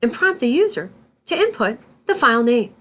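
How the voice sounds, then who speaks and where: A woman with a fairly high voice gives scripted tutorial narration. Her pitch moves in a very lively way while she instructs deliberately, step by step, as a teacher recording a voice-over. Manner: deliberate, instructional, spells out terms letter by letter.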